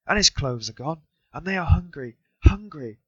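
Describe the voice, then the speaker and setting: A shrill, frantic destitute mother hurls accusations in a raised, strained voice that cracks upward, as a husky-voiced male narrator puts her on. Her cries are loud and high. The recording is dull and dry. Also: lively intonation, normal speed, no room sound. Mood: despairing. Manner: shrieking, accusing outbursts.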